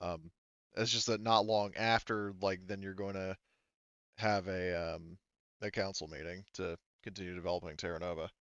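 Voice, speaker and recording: A man with a smooth, low voice runs a tabletop roleplaying game in a casual, sweary way. He sounds dry and close, though the recording is a little dull and lo-fi. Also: little pitch movement, normal speed, a bit bright, dry recording. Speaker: game master of a tabletop RPG session. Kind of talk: casual game talk, narrating scenes.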